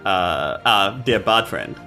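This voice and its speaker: A man's voice: deep voice, lively intonation, slow pace, bright, forceful tone, faint music behind. A man with a New Zealand accent chatting casually as a player at a tabletop game.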